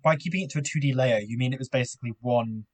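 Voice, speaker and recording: Man, husky voice, podcast co-host, curious, casual chat. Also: medium pitch, very fast. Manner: curious, asks questions, laughs easily.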